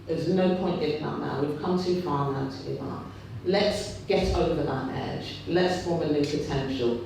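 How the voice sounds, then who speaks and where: A man's voice: a little high, moderate pace, creaky, distant mic, a little hiss or hum. Big-voiced man rallying in echoey hall. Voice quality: big, loud voice. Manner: impassioned, repetitive rhetorical buildup. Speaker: trade union speaker. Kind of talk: rally speech at a union fringe meeting. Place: meeting hall. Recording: very echoey hall, picked up from afar.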